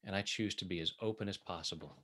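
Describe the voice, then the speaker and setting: A man working as a tapping coach, leading guided affirmations in short, measured phrases meant to be repeated. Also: sits low, a bit bright.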